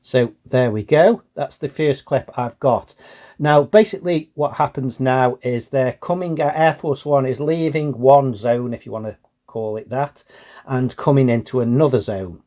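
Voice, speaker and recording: A man's voice: mid-range voice, normal speed, dark tone. A British man giving a casual, plain-spoken explanation as a hobbyist presenter.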